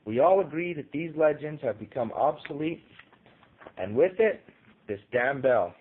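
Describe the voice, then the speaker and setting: A man's voice: a little high, animated, a little slow, breathy edge, phone-quality sound, voice pushed up. A man voicing a pompous, irritated king from a storybook, giving stern, declamatory pronouncements. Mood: proclaiming. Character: pompous, irritated king dismissing monsters, commanding a crowd. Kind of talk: storybook character voice in audio short story.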